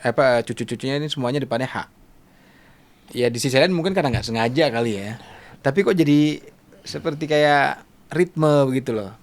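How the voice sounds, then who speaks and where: A man's voice: medium pitch, fairly quick. A middle-aged man hosting a casual interview, chatting informally and checking in with tag words. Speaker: podcast host.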